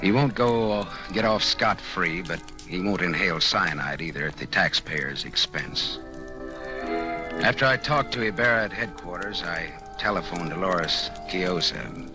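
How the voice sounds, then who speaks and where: An actor playing a sardonic, slangy, tough private detective in an old-time radio drama. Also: male voice, low-pitched, a little slow, over music. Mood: calm narration. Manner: sardonic, slangy, hard-boiled delivery. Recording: noisy vintage radio recording.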